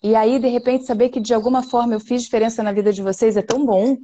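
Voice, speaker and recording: A woman's voice: fairly high pitch, steady tone, fast talker, warm tone, highs cut off. A middle-aged woman hosting a casual livestream from her living room, effusive and affectionate, greeting viewers by name. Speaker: host, voice teacher.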